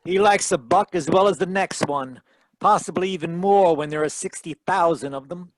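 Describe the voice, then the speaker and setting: Guy doing wry old-radio private eye, glib wisecracks, high voice, really dry close sound. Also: moderate pace, no room sound, natural voice, low-quality recording. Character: wisecracking hard-boiled private detective man, dry and brisk. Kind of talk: 1940s radio detective acting.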